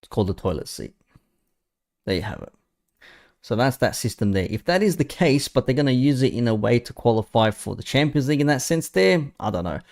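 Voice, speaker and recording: A man's voice: mid-range voice, sing-song, a little fast, creaky, a little mellow. Aussie guy in his 30s ranting about football, blunt and mocking, swears a lot. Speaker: football streamer. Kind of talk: casual live chat.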